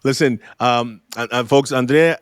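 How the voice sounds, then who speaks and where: A man's voice: medium pitch, a little slow, clear, resonant tone, no room sound, studio quality. A middle-aged man hosting a podcast interview, talking in a friendly, casual way and circling back over his words. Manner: friendly, repeats himself while practicing a name. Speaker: podcast host.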